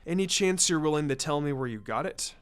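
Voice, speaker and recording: A man voicing a laid-back, teasing ninja teacher in a casual, unhurried, cool manner. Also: fairly high pitch, lively intonation, clear, resonant tone, lightly acted. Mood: calm, probing.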